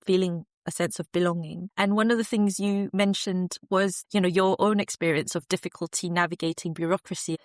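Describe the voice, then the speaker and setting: Female researcher guest, low-pitched, measured and reflective, dry room. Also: steady tone, no room sound. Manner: thoughtful, measured, many fillers. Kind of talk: interview answers.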